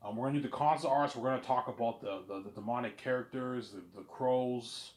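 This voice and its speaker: A man's voice: medium pitch, brisk. A young man talking in a casual, rambling monologue, repeating himself and restarting phrases, blunt in his wording. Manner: repetitive, often restarting phrases. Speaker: speaker in a personal series about his planned film.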